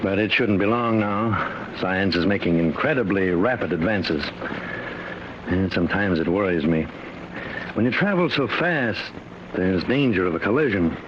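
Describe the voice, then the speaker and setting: Grave, fatherly scientist, earnest and hesitant, lively intonation, dull vintage radio sound. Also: sits low, moderate pace. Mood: troubled. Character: grave, worried professor inventing time travel, fatherly. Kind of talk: 1950s radio drama acting. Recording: dull, noisy old radio recording.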